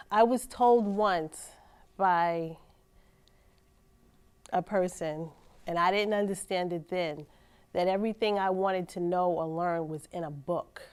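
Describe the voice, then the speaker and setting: A woman's voice: lowish voice, slow, long pauses, clear, resonant tone. A woman, a community resources coordinator, speaking reflectively and encouragingly in an interview. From New York.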